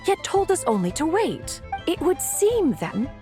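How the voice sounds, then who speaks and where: A woman's voice: extremely high pitch, very animated, a little slow, mellow, soft background music, exaggerated voice. Haughty, regal immortal woman with wide swooping intonation. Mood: stern, reasoning. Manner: formal archaic phrasing, imperious. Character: haughty, regal female immortal, archaic and proud. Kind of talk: game voice acting.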